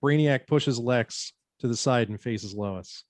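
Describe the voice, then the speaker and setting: A man's voice: mid-range voice, slow, no echo, clean studio sound. A man reading stage directions as narrator in a scripted table read.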